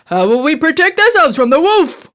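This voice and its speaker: A woman's voice: high-pitched, big pitch swings, moderate pace, fairly bright tone. A woman playing a sensible, cautious elder piglet brother in a children's story, earnest and admonishing. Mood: doubtful. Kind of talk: children's storybook character acting.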